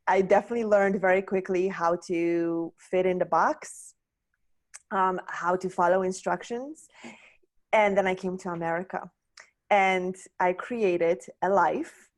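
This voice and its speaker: Lower-voiced woman from Poland. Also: darkish tone. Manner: candid, searching, frequent restarts. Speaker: guest. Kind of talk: reflective personal story.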